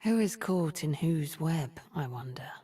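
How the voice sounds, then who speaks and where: A cunning video game sorceress with a very low, husky voice. Her tone is dark and velvety, her delivery measured and theatrical. She sounds imperious, disdainful and quietly menacing. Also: expressive, heavily acted. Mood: sly. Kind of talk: video game character voice lines.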